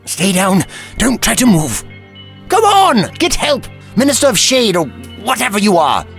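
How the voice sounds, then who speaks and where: Audio drama hero, man playing urgent, indignant time traveller: high-pitched, hugely varied intonation, music underneath. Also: sing-song, a little slow, gravelly, fairly bright tone, heavily acted. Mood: alarmed. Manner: earnest, urgent, exclamatory.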